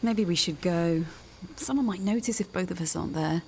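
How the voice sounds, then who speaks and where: An actress playing a hesitant woman in a secret romance in a radio soap, gentle and reluctant. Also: fairly low pitch, a little fast, slight background noise, close to an everyday voice. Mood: cautious.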